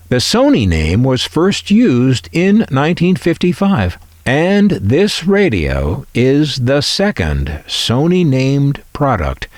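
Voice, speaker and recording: Slow-talking man with lively, swooping intonation. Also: mid-range voice, no echo, clean studio sound. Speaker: host of a vintage electronics channel. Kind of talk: scripted narration.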